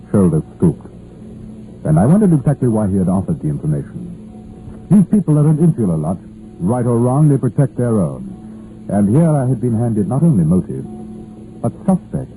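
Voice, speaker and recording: An actor playing a composed Scotland Yard chief inspector who narrates his case in measured, deliberate phrases in a 1950s radio crime drama. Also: on the low side, big pitch swings, mellow. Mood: grave, reflective. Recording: muffled old radio recording with faint music.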